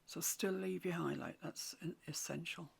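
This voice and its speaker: Croaky, half-whispering woman. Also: lowish voice, moderate pace. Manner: gentle, often half-whispered instructions. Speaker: watercolour painting teacher. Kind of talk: soft-spoken painting tutorial. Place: home room with a mantelpiece.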